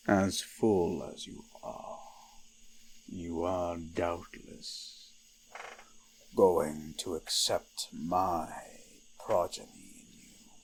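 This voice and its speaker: A man playing an ancient, possessive forest god in an intimate roleplay audio, speaking formally and ominously in a breathy, gravelly murmur that often drops to a whisper. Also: sits low, a little hiss or hum, rough recording.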